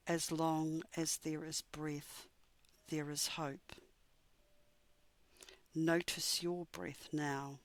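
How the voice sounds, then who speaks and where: A woman leading a scripted guided meditation in a soothing, measured manner with deliberate pauses. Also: low-pitched, no room sound.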